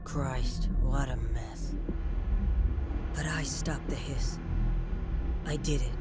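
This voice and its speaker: A woman's voice: a bass voice, steady tone, takes it slowly, loud music behind the voice. A woman playing a hardened middle-aged government operations chief in a video game, grim, terse and bitter. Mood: grim, weary resolve. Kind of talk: video game cutscene monologue.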